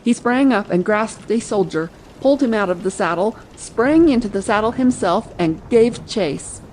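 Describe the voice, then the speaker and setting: A woman's voice: medium pitch, expressive, normal speed, a little mellow, a little hiss or hum, rough recording. A woman narrating a scripted adventure audiobook.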